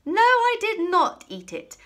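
A woman voicing a pompous, furious headmistress who barks and booms her orders. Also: normal speed, a bit bright. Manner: barking, booming, curt. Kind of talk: storybook read-aloud.

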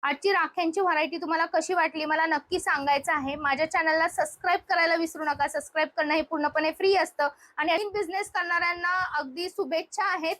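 Woman, high-pitched voice, dry close sound, shopping vlog host, spontaneous product showcase. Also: steady tone, fast, few pauses, a bit bright, no room sound. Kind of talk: spontaneous product showcase, presenting to viewers and chatting with the seller.

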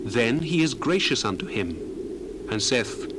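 Man acting an impassioned young biblical orator, animated pitch. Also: measured pace, noisy background, close to an everyday voice. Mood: solemn. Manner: declamatory, solemn, archaic phrasing. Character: earnest, indignant young man of ancient times addressing elders. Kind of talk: dramatized scripture reading.

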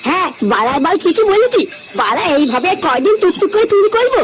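A man's voice: extremely high pitch, big pitch swings, fast talker. A man voicing a brash, bossy, slangy young village thief in a comic cartoon. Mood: bold, irritated. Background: loud music.

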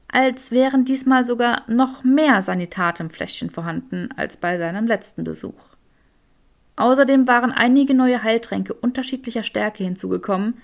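A woman narrates a fiction audiobook. Her voice sits somewhat high, and she reads scripted storytelling prose. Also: vocal fry.

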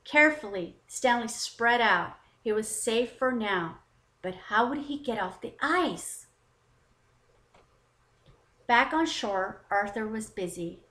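Higher-voiced woman reading to children, roomy sound. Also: animated, slow, bright, forceful tone. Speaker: children's story time host and narrator. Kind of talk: expressive children's read-aloud narration. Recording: roomy, echoing voice recording.

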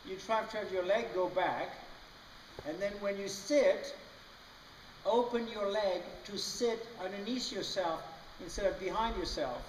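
High-voiced riding instructor in an echoey arena. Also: male voice, unhurried, distant mic. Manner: patient, explanatory, frequent "okay?" check-ins. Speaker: riding clinician. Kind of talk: coaching a rider. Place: large indoor riding arena. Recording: roomy echoey arena from afar with noise.

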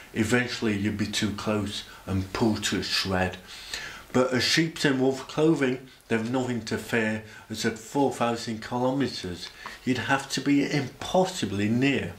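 Male, around forty. Mid-pitched spoken-word presenter, deliberate and dramatic, recorded in an echoey room. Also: a little hiss or hum. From Sheffield, England. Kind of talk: rhyming spoken-word poetry about science. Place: indoor room, speaking to camera.

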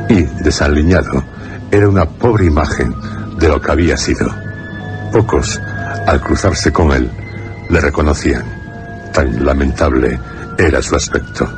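A man narrating a radio horror drama from a script, with grave, deliberate phrasing and dramatic pauses. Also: very deep voice.

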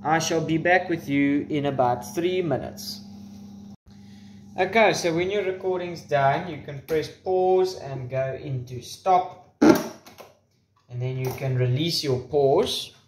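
A man's voice: on the high side, slow pace, background noise. A man in his twenties presenting a casual, informal how-to demonstration with wry asides, recorded on a camera microphone. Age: 20s. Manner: informal, wry joking asides and mild swearing. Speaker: tutorial presenter. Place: small room at home, indoors. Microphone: camera's built-in microphone at varying distance.

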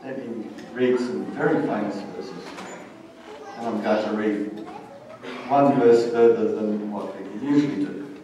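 A middle-aged man preaching a sermon and reading scripture in a measured, plain-spoken way. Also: on the low side, noisy background. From Australia. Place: church stage, indoors. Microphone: stand mic at lectern, heard from far camera. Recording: distant, very echoey church hall recording.

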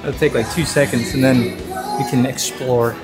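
A man's voice: medium pitch, fairly even intonation, normal speed, a loud crowd. A young man chatting upbeat on a travel vlog aboard a cruise ship, filmed on a handheld camera. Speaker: vlogger. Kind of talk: casual travel vlog chat. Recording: background music over the voice.

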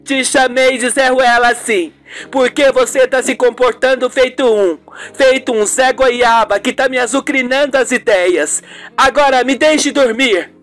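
A performer voicing an exasperated, sharp-tongued young wife and mother, scornful and scolding, in an amateur radio soap. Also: sits high, measured pace, pitched above the performer's own voice. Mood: irritated. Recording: music bed under the voice.

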